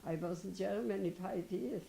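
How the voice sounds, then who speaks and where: Gravelly older woman. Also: mid-range voice, moderate pace, mellow, a little hiss or hum. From Poland. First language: Polish and Yiddish. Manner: broken English, hesitant, frequent restarts. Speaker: interviewee. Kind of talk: halting personal recollection.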